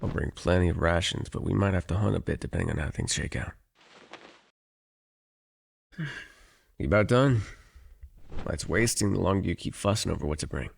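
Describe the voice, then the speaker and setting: Casting: gruff wilderness guide for an ASMR roleplay, played by a man; very deep, husky, dry and teasing with the client. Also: normal speed, pauses often, full character voice. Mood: brisk, businesslike. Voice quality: low, gravelly, husky. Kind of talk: ASMR audio roleplay, one-sided dialogue addressed to the listener.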